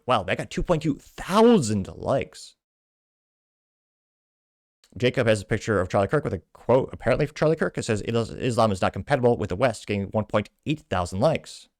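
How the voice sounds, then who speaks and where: Low-voiced American man, podcast commentator. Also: no echo, clean studio sound. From Midwestern United States. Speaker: podcast host. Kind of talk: political commentary, reading social media posts aloud.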